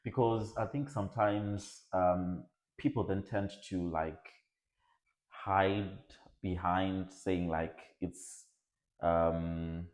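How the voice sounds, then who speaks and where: Very low-voiced man, hesitant podcast co-host, echoey room. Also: steady tone, drawn-out words, a little mellow. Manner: thoughtful, hesitant with frequent fillers. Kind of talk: casual conversation.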